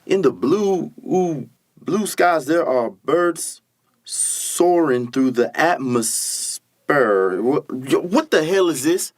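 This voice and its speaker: A man as a lively, coarse young bully. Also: fairly high pitch, slow. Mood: mocking. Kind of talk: radio play acting.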